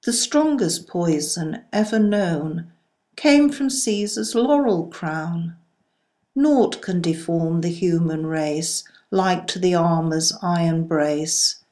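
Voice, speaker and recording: A woman reciting poetry in a solemn style, with measured, deliberate phrasing. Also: fairly low pitch, mellow. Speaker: poetry reader.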